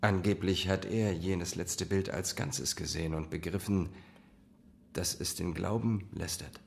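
An actor in a radio drama playing an agitated painter with a dark secret, phrasing things weightily and deliberately. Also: male voice, low voice, steady tone, vocal fry, a little hiss or hum. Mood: grave, guarded.

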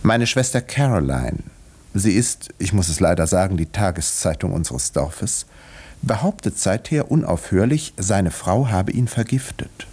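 An actor playing an urbane village doctor who narrates a 1950s radio drama with dry, measured irony. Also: male voice, sits low, expressive, darkish tone, slightly rough, exaggerated voice. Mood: dry, wry. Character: wry, urbane village doctor telling his own story.

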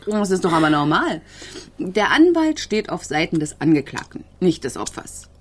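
Female court reporter, shrewd, amused, sceptical, lower-middle pitch, naturalistic radio crime drama. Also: very animated, moderate pace. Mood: dry, needling. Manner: needling, amused, questioning tags.

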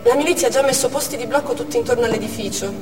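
Anxious female medic played by a stage actress, fairly high voice, dramatic monologue, recorded far off with noise. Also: rapid-fire, close to an everyday voice. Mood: agitated. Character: anxious woman ambulance medic recounting an emergency. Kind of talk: theatrical monologue, first-person narration on stage. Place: theatre stage, distant microphone. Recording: echoey theatre hall from far off, noisy.

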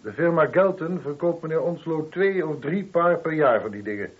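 A man's voice: a little high, expressive, normal speed, breathy edge. A man playing a dutiful police constable in a radio drama, giving a factual report. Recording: tinny, narrow-band old radio drama recording.

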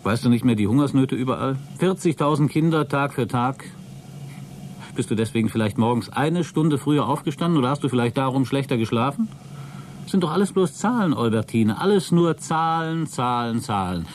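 A man's voice: mid-range voice, expressive, a little fast, darkish tone, a little hiss or hum, natural voice. A man acting a sceptical, sardonic astronaut in a German radio play, with a dry, matter-of-fact delivery. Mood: cynical. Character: sceptical, sardonic male astronaut on a lonely spaceflight.

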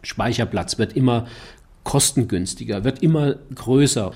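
A man's voice: medium pitch, moderate pace, darkish tone. A man explaining technical work in an interview, in a conversational and factual way. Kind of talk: explaining in an interview, hesitant phrasing.

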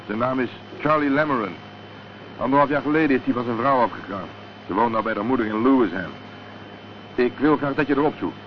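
An actor playing a laconic, wary private detective in a radio drama, speaking in a terse, matter-of-fact way. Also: male voice, medium pitch, a little fast, natural voice. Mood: businesslike. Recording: dull, faintly noisy old radio play recording.

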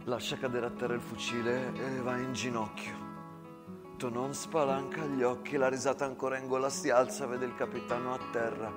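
A man narrating a dramatic war story as a stage storyteller, measured, in short phrases parted by long pauses. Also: medium pitch. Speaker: actor and storyteller. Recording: long hall reverb with guitar music. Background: guitar music.

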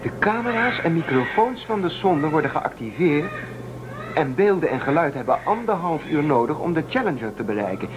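A man's voice: on the high side, big pitch swings, moderate pace, background noise. A man playing a defiant rebel spacefarer. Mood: excited. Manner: urgent, persuasive, suspicious of authority. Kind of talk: radio play acting.

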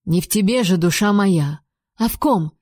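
A fiery young heroine with a warm, mellow voice, as a woman reader raises her pitch for her. Her words land with heat and emphasis. The sound is dry and close. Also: animated, normal speed, no echo, lightly acted. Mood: indignant. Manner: heated, emphatic accusations.

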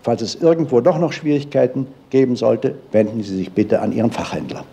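A man's voice: mid-range voice, expressive, fairly quick, dark tone, slightly rough. A man playing a formal, helpful explainer in an old broadcast, giving instructions. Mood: formal, instructive. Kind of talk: formal vintage broadcast announcement. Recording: old archive broadcast, noisy background.

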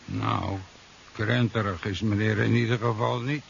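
An actor playing an unfussy taxi driver, casual and matter-of-fact, in a 1970s radio drama. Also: male voice, on the low side, fairly even intonation, a little slow, creaky. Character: plain-spoken, unfussy male taxi driver. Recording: muffled 1970s radio recording, faint hiss.

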